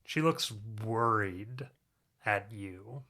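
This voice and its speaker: A man's voice: lowish voice, expressive, drawn-out words, pushed and bright. A man narrating a tabletop roleplaying game as game master, describing what characters do.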